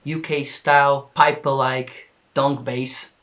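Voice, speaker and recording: A man's voice: medium pitch, flat delivery, slow pace, a bit bright. A man who produces music, explaining a track in a casual tutorial style. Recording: music playing behind the voice.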